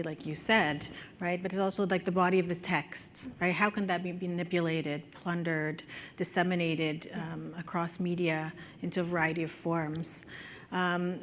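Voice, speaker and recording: A woman moderating a writers' panel, measured as she poses questions. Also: fairly low pitch, slight background noise. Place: conference stage.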